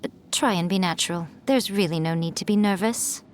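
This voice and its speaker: A woman's voice: lowish voice, a little fast, a little hiss or hum, natural voice. A woman voicing a teasing, poised fox-spirit priestess who coaxes her companions along, in a video game. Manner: teasing, coaxing, playful.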